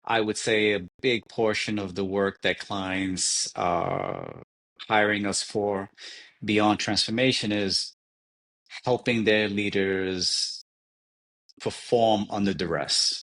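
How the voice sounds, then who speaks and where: A man in his forties from New York City, talking in an interview as a leadership advisor, measured and reflective. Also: lowish voice, steady tone, a bit bright. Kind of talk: interview conversation.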